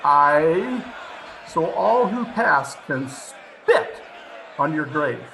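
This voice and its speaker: A man's voice: fairly high pitch, big pitch swings, extremely slow, pushed and bright, full character voice, low-quality recording. A man playing a gloating, merciless gallows executioner, jeering in archaic old-time radio horror style. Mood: gloating, loud. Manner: jeering, crowing, archaic speech. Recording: home remote recording with clear background noise.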